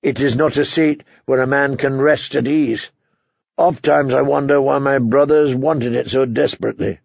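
A man voicing a stern, bitter, unbending king in an audiobook, gravelly and husky, with a commanding, humorless manner. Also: mid-range voice, steady tone, moderate pace, no room sound, lo-fi sound. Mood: bitter, brooding.

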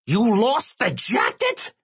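Tiny pushy reporter dude for an audiobook, high squeaky voice, slangy, kinda muffled sound. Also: male voice, huge pitch swings, slow pace, vocal fry, slightly rough, exaggerated voice. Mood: panicked. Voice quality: squeaky, irritating little voice. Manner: streetwise slangy American talk. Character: tiny, eight-inch man, a frantic, streetwise crusading reporter.

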